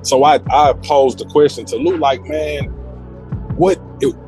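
A man in his thirties, a podcast guest, talking casually and reflectively, thinking aloud in slangy, halting phrases. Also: a little high, darkish tone, low-quality recording. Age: 30s. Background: music.

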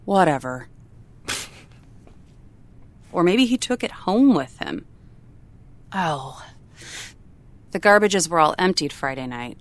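A practical female office colleague in an audio drama. Her voice sits a little low, and she talks in a dry, skeptical, matter-of-fact way. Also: expressive, takes it slowly, long pauses. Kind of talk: naturalistic audio drama acting.

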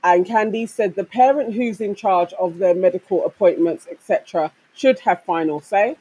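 Husky woman reading listener comments. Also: medium pitch, moderate pace, dark tone, poor audio. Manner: reads comments aloud, laughs often. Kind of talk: casual chat.

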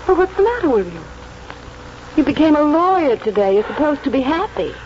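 An actress playing a forthright, romantic young sweetheart, coy and theatrical in 1940s radio drama style. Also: female voice, sits high, sing-song, brisk, darkish tone. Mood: eager. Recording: dull, noisy 1940s radio recording.

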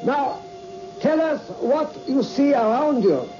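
Formal, animated mission controller. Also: male voice, extremely high pitch, slow pace, music in the background, exaggerated voice. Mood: intent. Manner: formal, commanding, grandly declamatory. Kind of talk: old-time radio drama acting. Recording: dull, noisy old radio recording.

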